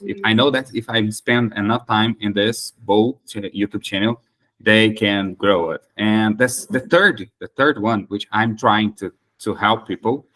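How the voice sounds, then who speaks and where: A man's voice: fairly low pitch, unhurried, a bit bright. A Brazilian man whose first language is Portuguese, hosting an English practice chat and speaking hesitantly with many restarts. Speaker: host of an English practice meeting. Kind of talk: casual conversation practice.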